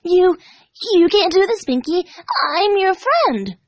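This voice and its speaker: A woman voicing a terrified female pegasus pony in a fan story, pleading haltingly and desperately for her life. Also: very high voice, very animated, pitched above the performer's own voice, exaggerated voice.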